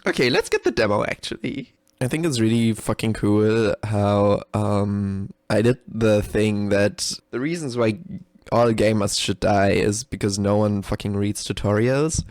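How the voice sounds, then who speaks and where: A man's voice: fairly low pitch, a little slow, a little mellow, no echo, clean studio sound. A man giving casual, unscripted live commentary as a game developer, rambling, sweary and self-deprecating, and often giggly. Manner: giggly, often breaking into laughter. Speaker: game developer recording a reaction video.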